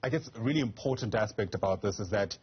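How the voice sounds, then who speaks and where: A man's voice: lowish voice, fast talker, husky. A man interviewing on a news programme in a reflective, formal yet conversational way. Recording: slightly dull, lo-fi audio.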